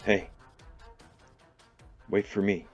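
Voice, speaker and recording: A man plays an ordinary young guy in a radio play, understated and unsure. The voice is deep and slow, slightly dull, with loud music behind. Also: flat delivery. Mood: hesitant. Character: hesitant, ordinary young computer geek. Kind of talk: dramatic radio play acting.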